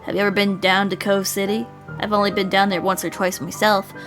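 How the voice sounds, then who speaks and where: A woman's voice: mid-range voice, flat delivery, fairly quick, over music, close to an everyday voice. A woman voicing a weary, wry older man with a dry, understated manner in a visual novel.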